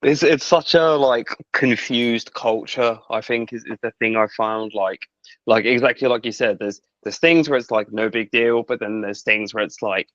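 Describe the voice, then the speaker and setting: A man's voice: medium pitch, normal speed, fairly bright tone, no echo, lo-fi sound. A man asking questions in a hesitant casual chat over a video call, full of fillers.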